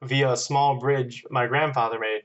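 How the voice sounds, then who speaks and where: A man's voice: mid-range voice, fairly even intonation, normal speed, dull audio. A man reading listener stories aloud in casual banter, with a playful, amused storytelling manner. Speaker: podcast guest and editor.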